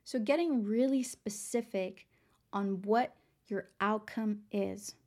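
A young adult woman from Texas hosting a casual motivational podcast, her voice raspy and worn, chatty and upbeat as she talks straight to her listeners. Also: medium pitch, slow, a little mellow. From San Antonio, Texas. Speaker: podcast host.

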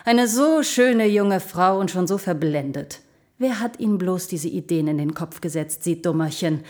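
An actress playing a vain, seductive milk carafe in an audio drama, purring and condescending like an aging advertising diva. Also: female voice, fairly low pitch, lively intonation, moderate pace, darkish tone, lightly acted. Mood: indignant, condescending. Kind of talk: scripted audio drama acting.

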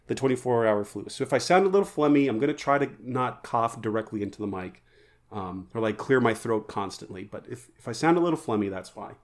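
A man's voice: mid-range voice, darkish tone. A man co-hosting a podcast, telling jokey, rambling stories in casual banter. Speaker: podcast co-host.

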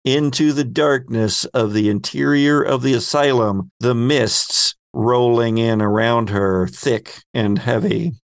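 A man acting as game master, improvising descriptive narration for a tabletop game in a halting manner. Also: mid-range voice, fairly even intonation, gravelly, clear, resonant tone, dry recording.

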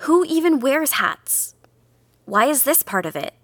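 A bright-voiced, sullen young girl. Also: sits high, big pitch swings, measured pace, no room sound, heavily acted. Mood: sulky, argumentative. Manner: petulant, hesitant, argumentative. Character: capricious, anxious young girl, sullen and questioning. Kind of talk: naturalistic audio drama dialogue.

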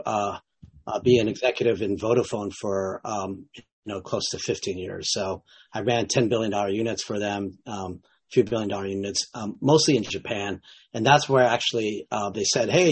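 Man, late fifties, CEO guest; lowish, husky voice, loose and hesitant, full of restarts. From United States. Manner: relaxed, hesitant, many restarts and fillers. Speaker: company CEO, interview guest. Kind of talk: casual interview answers.

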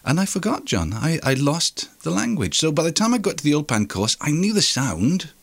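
A man's voice: a little high, big pitch swings, fairly quick, gravelly. A man, hosting a casual chat and sharing memories of growing up. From Wales.

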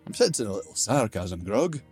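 A grave, dry-humored dwarf companion, as a man puts him on. He coaxes patiently and keeps his voice steady. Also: fairly low pitch, brisk, slightly rough, soft background music, heavily acted. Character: calm, persuasive male dwarf companion.

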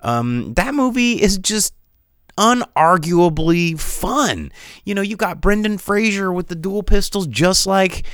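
A man's voice: high-pitched, very animated, takes it slowly, fairly bright tone, clear, resonant tone, dry recording, clean studio sound. A man hosting a casual live-streamed podcast, rambling from thought to thought with lots of restarts and reading out viewer comments. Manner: rambling, digressive, many restarts. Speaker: podcast host.

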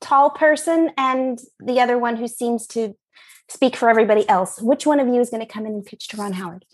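A woman's voice: fairly high pitch, a little fast. A woman improvising an office assistant in a comedy scene, curt and businesslike.

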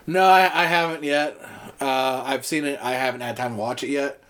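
A man's voice: mid-range voice, moderate pace, a bit bright. Man, podcast co-host, rambling, swears casually, voice wearing thin. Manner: rambling, many fillers and restarts, swears casually. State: starting to lose his voice. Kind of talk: casual chat.

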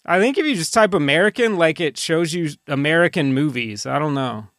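Creaky, higher-voiced man on a podcast. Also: lively intonation, a little fast, a bit bright, no echo, studio quality. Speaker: podcast host. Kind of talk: casual podcast banter.

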